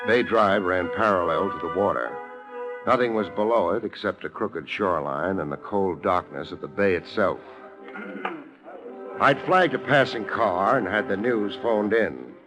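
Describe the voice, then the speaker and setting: A hard-boiled American private detective from a vintage radio noir show. His voice is lowish and his delivery dry, sardonic and laconic, with music playing clearly underneath. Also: unhurried, low-quality recording. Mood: grim, wry. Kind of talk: 1950s radio noir acting and narration.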